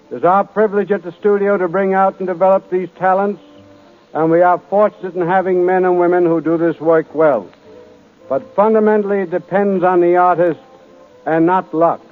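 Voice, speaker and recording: High-pitched man making a formal radio speech. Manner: oratorical, solemn. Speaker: studio executive introducing performers. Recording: tinny, phone-like 1930s radio recording.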